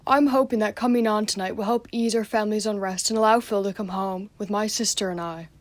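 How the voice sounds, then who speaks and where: A woman's voice: medium pitch, steady tone, brisk, no echo, natural voice. A young female student playing an aggrieved, jealous, overlooked son in a radio play. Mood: earnest, wistful. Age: teenager or young adult student.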